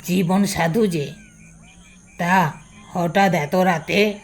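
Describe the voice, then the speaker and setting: A woman's voice: lowish voice, very slow, heavily acted. A woman playing a haughty, defiant village sorceress with a taunting drawl, in a horror audio drama. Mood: mocking.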